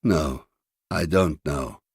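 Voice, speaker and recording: A man voicing a detached, dreamy husband who speaks softly and tersely in an audiobook story. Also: extremely low pitch, takes it slowly, slightly rough, no echo, pitched below the performer's own voice, heavily acted. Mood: detached, quiet. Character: quiet, distracted, dreamy husband, detached and cryptic.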